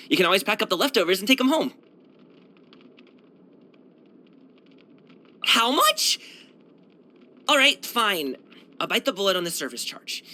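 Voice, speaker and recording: A man's voice: high-pitched, sharp tone, full character voice. A man voicing a brash, cheerful young martial arts dancer and guard in a video game, chatting casually in a slangy, boyish, self-assured way. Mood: friendly, lively. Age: young adult. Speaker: video game character voice actor. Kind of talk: casual, conversational game voice lines.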